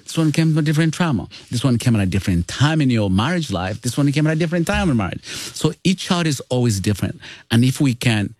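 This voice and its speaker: A man's voice: medium pitch, expressive, fast talker, darkish tone, no echo. A man with a Ugandan accent, speaking as an interview guest and telling personal stories in a conversational way. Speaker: guest, foster father and author.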